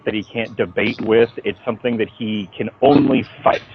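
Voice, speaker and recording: A man being interviewed by phone as a writer, talking in an informal, halting but emphatic storytelling way. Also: fairly low pitch, steady tone, vocal fry, slight background noise. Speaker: interviewee, comic book writer. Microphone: phone call. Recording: dull lo-fi phone call.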